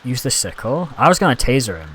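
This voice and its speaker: A man's voice: medium pitch, very animated, quick pace, fairly bright tone, slight background noise. A Scottish man chatting casually and rambling as he streams a game, reacting aloud to what happens on screen. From Scotland. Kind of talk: casual live-stream commentary.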